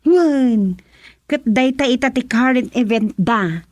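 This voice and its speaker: A woman's voice: a little high, expressive, a little mellow, dry recording, clean studio sound. A woman playing a gleeful village gossip in a comedic radio drama, teasing and giggly as she draws out her tales. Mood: gossipy, amused. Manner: giggly, teasing, drawn-out storytelling.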